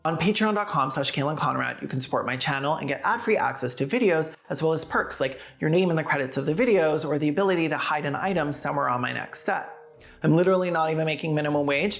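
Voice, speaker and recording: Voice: mid-range voice, a bit bright. A video essayist and host delivering scripted satirical news commentary in a sarcastic, irreverent, casual manner.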